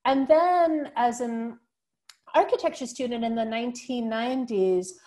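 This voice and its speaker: A middle-aged American woman giving an online architecture lecture over a video call, in a clear, steady academic way with some fillers. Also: fairly high pitch, measured pace. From United States. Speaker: guest lecturer.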